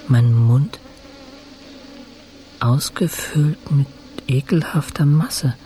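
An actor playing a weakened male patient, narrating his recovery in terse, measured fragments as a radio drama monologue. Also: mid-range voice, warm tone, a little hiss or hum, natural voice. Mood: haunted, detached. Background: music.

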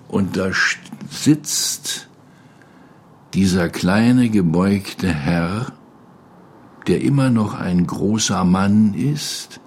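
An older man with a low, husky voice recalls a colleague in an interview. He tells it slowly and dramatically, leaning on words and repeating them for emphasis. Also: a little mellow. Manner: emphatic, dramatic storytelling with repetition. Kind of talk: spontaneous reminiscence.

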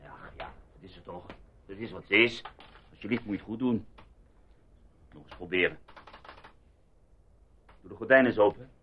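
An actor in a radio drama playing an irritable, sarcastic husband with a grumbling, sardonic delivery. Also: male voice, fairly low pitch, normal speed, pauses often, close to an everyday voice. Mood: exasperated. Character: irritable, sarcastic husband fed up with a filthy holiday house. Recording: muffled, faintly noisy radio play recording.

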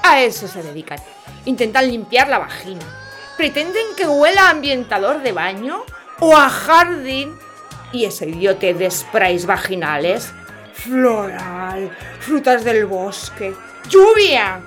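A woman playing an earthy, excitable friend in comic audio drama banter, colloquial and exclamatory. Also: fairly high pitch, slow pace, faint music behind, heavily acted. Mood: scornful.

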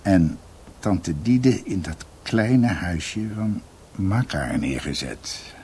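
An actor playing a brooding doctor who recounts his family's history in a halting, reflective, emphatic way, as in a radio drama. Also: deep voice, very animated, dark tone, slight background noise, exaggerated voice. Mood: bitter.

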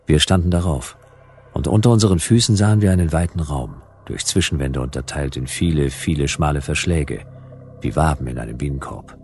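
An actor playing a hard-boiled detective, narrating a noir radio drama in a dry, laconic, sardonic way. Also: male voice, rumbling low, a little fast, a little mellow, slight background noise. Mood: grim, measured.

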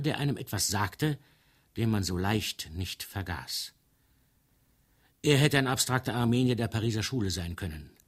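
Husky, wry male storyteller read by an actor. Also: fairly low pitch. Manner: playful, rambling, colloquial. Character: wry, digressive male storyteller of a comic novel. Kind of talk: literary reading.

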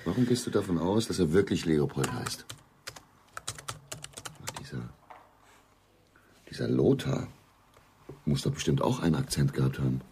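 A man acting in a radio drama as a sceptical, methodical investigator, questioning deliberately as he puzzles over a case. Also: extremely low pitch, lively intonation, warm tone, slight background noise. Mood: puzzled.

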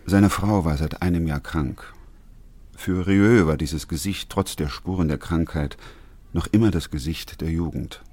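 An actor playing a weary, principled doctor who narrates a radio drama in a measured, restrained way. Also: male voice, low-pitched, a little mellow, breathy edge. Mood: grave, restrained.

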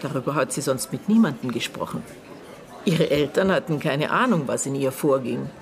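An actress playing a middle-aged, bitter and guarded aunt in a naturalistic radio drama, speaking in terse, halting phrases as she searches her memory. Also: a bass voice, animated, gravelly, heavily acted. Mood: reflective, guarded. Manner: terse, halting, broken phrases with thoughtful pauses.

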